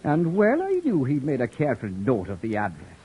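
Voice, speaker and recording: A man acting a proud, sharp-tongued young wanderer who narrates his own tale in a 1940s radio drama, with a wry, sardonic telling. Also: medium pitch, huge pitch swings, a little fast, muffled recording, a little hiss or hum. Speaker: narrator and lead character. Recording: old radio broadcast with faint music.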